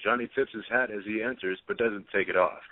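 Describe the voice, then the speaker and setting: A man's voice: lowish voice, brisk, no room sound. A man reading stage directions as scripted narration for a play, with narrow phone-like sound.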